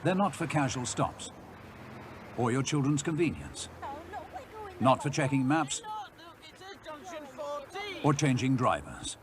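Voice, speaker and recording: A man reading scripted safety warnings as a public information film narrator, stern and deliberate. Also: mid-range voice, sing-song. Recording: old film soundtrack, faint noise.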